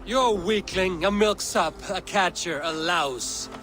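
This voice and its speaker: Casting: quick-witted young Viking for a game, cool and cutting, voice a touch high. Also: male voice, sharp tone, faint music behind. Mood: mocking. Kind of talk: video game voice acting.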